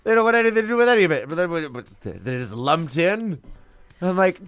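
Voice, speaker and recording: A man's voice: high-pitched, big pitch swings, fairly quick, fairly bright tone, dry recording, faint music behind. A man who performs as a drag queen, telling stories in a casual interview with a strong, theatrical, flamboyant voice. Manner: flamboyant, theatrical storyteller with big swoops.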